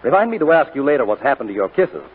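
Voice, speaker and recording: A possessive, devoted fiancé with a rough-edged middle-pitched voice that moves up and down a lot. It is 1940s radio melodrama on a muffled recording. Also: male voice, sing-song, rapid-fire. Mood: breezy, affectionate. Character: devoted, possessive jilted fiancé, breezy then pleading.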